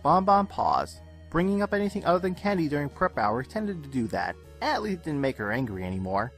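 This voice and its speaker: A man narrates a story in an expressive way, with a mid-pitched voice that swings up and down. Music plays softly beneath the reading. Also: fairly quick, vocal fry. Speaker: narrator of a fan fiction audio reading. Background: soft game music.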